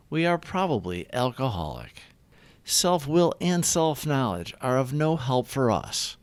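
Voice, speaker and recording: A man reading daily recovery reflections aloud in an earnest, deliberate, scripted devotional style. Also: mid-range voice, no room sound.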